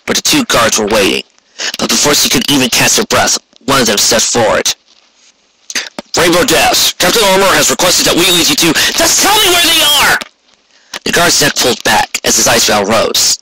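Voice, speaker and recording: A man's voice: mid-range voice, background noise. A man reading scripted fantasy fiction aloud as an audiobook narrator. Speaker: fan fiction audiobook reader.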